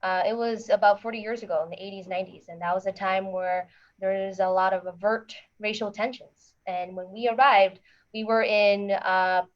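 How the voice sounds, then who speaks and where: Woman, council member, from Minnesota, measured, lots of fillers, muffled call audio. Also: medium pitch. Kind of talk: panel discussion.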